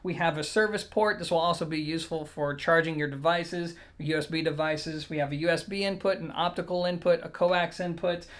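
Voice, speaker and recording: A man's voice: fairly high pitch, steady tone, brisk, hardly any pauses, fairly bright tone. A man giving a conversational, methodical product review, explaining things to viewers point by point as an audio gear reviewer.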